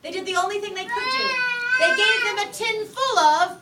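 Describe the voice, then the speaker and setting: A woman telling a story to young children in a playful, lively way with drawn-out emphasis, in a classroom. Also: very high-pitched, heavy background noise. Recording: echoey room from far off.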